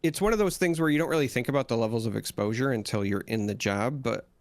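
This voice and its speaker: A man's voice: mid-range voice, fast talker. A man co-hosting a casual podcast, stuffy from head congestion, telling stories in a wry, conversational way. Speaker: podcast co-host. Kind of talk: casual chat.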